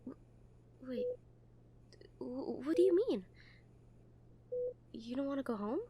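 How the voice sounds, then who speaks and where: A woman's voice: sits high, animated, takes it slowly, dark tone, slightly rough, full character voice. A woman playing a kind, earnest nurse in an audio roleplay, talking to a patient in a hesitant, reassuring, conversational way. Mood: caring, reassuring. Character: kind, earnest, gently teasing hospital nurse comforting a patient. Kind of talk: roleplay audio acting, soft comfort talk.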